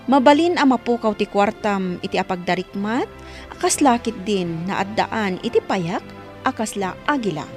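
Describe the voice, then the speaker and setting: A woman's voice: medium pitch, lively intonation, normal speed, faint music behind. A woman with a Filipino accent presenting a radio drama in a scripted, devotional narrating style. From Philippines.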